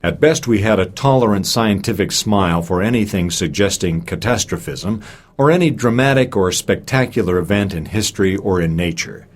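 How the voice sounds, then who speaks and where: A man reading a lecture aloud in a measured way, giving expressive emphasis to key phrases and quotations. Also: fairly low pitch, husky. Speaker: reader of a recorded speech.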